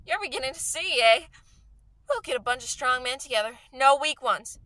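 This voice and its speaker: A woman as a fervent, high-pitched scheming survivor soldier. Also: expressive, moderate pace, bright, forceful tone, no room sound, low-quality recording. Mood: feverish scheming. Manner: insistent, folksy slangy talk. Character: fervent, scheming artilleryman survivor, hungry for power. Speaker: actor in a radio play. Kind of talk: radio play acting.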